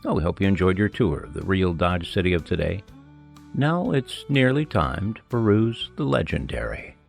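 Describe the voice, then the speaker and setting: American male narrator, very low gravelly voice, folksy scripted travelogue, faint music behind. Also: lively intonation, moderate pace. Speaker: narrator and local guide.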